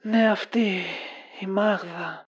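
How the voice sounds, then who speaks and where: A woman's voice: medium pitch, steady tone, slightly rough, close to an everyday voice. A woman playing a lovesick, self-deprecating young man in an audio drama, casual and guarded with a wistful manner. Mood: resigned. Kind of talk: conversational audio drama acting. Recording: dull lo-fi audio.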